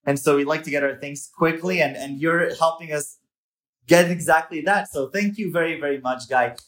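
A man's voice: fairly high pitch, normal speed, fairly bright tone. A man hosting a podcast, reading a scripted introduction and then asking the guest questions with emphatic enthusiasm. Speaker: podcast host.

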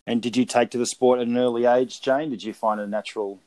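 A man's voice: mid-range voice, fairly even intonation, quick pace, dark tone. A man co-hosting a podcast, asking a guest chatty questions with occasional fillers. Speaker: podcast co-host, general manager. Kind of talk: casual interview hosting.

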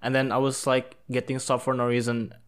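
A young man from Indonesia telling a story to friends, halting and laughing often. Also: medium pitch, flat delivery, clear, resonant tone. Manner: halting, many fillers, laughing. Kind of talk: casual storytelling among friends.

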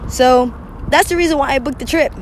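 A young woman talks through her solo podcast with a scratchy voice from a cold. She is chatty and candid, clearing her throat now and then. Faint traffic noise sits behind her. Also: sits high, a little fast. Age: young adult. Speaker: podcast host. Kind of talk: casual solo podcast monologue.

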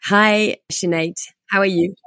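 A woman's voice: medium pitch, fairly even intonation, a little slow, bright, forceful tone, no room sound. A woman hosting a podcast who introduces her guest in a formal, welcoming way. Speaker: podcast host.

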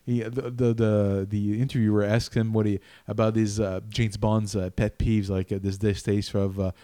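Halting, stammering male podcast co-host. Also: on the low side, flat delivery, warm tone, smooth, no echo. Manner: halting, repeats words while thinking. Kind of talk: casual chat.

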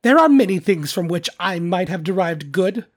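A narrator voicing a cheerful, warm-hearted young nephew in a storybook, earnest and lively, sometimes pleading. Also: mid-range voice, moderate pace.

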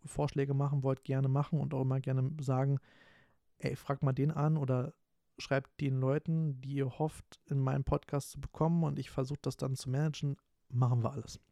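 A man's voice: mid-range voice, gravelly, warm tone, dry recording, clean studio sound. A man in his twenties from Berlin hosting a solo podcast, talking casually and rambling with lots of fillers and restarts. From Berlin, Germany. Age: 20s. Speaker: podcast host.

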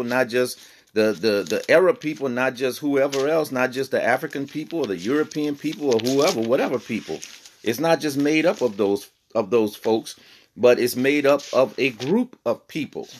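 A man's voice: medium pitch, animated, a little hiss or hum. A man teaching a Bible lesson on a podcast, earnest, with many fillers and restarts. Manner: reads scripture in slow old-fashioned cadence.

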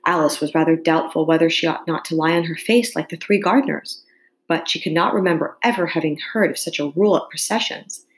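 Woman, slightly low pitch, storybook read-aloud, teacher reading to students, careful, expressive. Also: brisk, low-quality recording.

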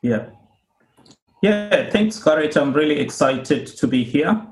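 A man from Zimbabwe, a podcast guest, giving earnest, measured answers with frequent small pauses. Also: a little high, expressive, echoey room. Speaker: guest, cybersecurity author and institute co-founder.

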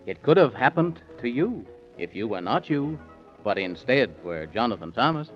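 Male vintage radio narrator, playful rhyming sing-song, very lively pitch, light music behind. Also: normal speed. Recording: dull old 1930s radio recording.

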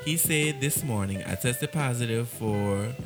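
A man's voice: mid-range voice, a little slow, music in the background. A man co-hosting a casual gossip podcast, reading celebrity news aloud with laughing asides. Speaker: podcast co-host.

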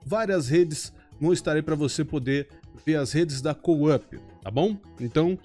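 A man hosting a podcast with a slightly higher voice than most men. He is friendly and playful as he runs through plugs and questions for his guest. Soft music sits quietly underneath. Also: normal speed. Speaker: podcast host.

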